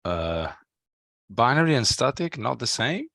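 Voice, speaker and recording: A man's voice: medium pitch, very animated, unhurried, creaky, fairly bright tone, no room sound, studio quality. A man in his thirties thinking aloud tentatively in a casual technical discussion on a headset. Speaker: software developer on a working group call. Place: small room at home, video call.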